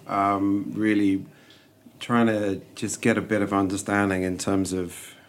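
A man's voice: fairly low pitch, flat delivery, unhurried. A man hosting an interview, reflective, often summing up his guest's points. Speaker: host. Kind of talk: interview conversation.

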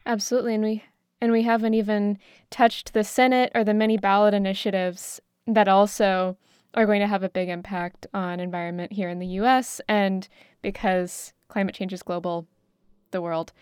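A woman with an exceptionally clean, smooth voice hosts a podcast discussion. She speaks conversationally, and the sound is dry with little room around it. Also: mid-range voice, little pitch movement, moderate pace, darkish tone, dry recording. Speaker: podcast host. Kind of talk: conversational hosting.

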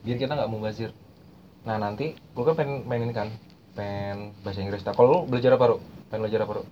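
A man's voice: fairly low pitch, steady tone, fast. Slangy young man explaining. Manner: slangy, repeats phrases while explaining. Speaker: podcast host. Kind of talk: casual chat explaining language learning. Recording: muffled lo-fi recording with faint noise.

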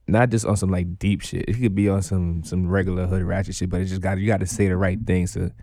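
A man's voice: deep voice, level tone, quick pace, mellow, dry recording, clean studio sound. A man from Guyana who raps, talking loosely on a podcast with slangy, rambling sentences. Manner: rambling, slangy, lots of likes and restarts. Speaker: rapper guest. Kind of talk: casual interview chat.

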